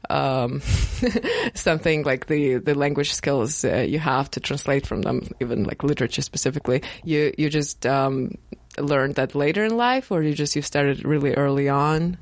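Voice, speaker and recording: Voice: mid-range voice, normal speed, no room sound. A podcast host, speaking hesitantly with many fillers and restarts. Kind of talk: casual podcast interview.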